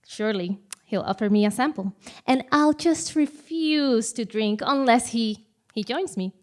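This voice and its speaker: A woman's voice: on the high side, unhurried, close to an everyday voice. A woman playing a bold, self-assured publicist, confidently plotting in a comic stage audio play. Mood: confident, scheming. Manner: confident, scheming. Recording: live theatre stage recording.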